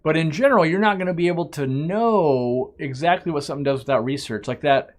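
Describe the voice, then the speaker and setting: A man's voice: on the high side, fairly quick. A man hosting a casual live-stream Q&A. He reads viewer questions aloud and answers them in a rambling, chatty way, often restarting his sentences. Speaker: aquarium shop owner hosting a live stream.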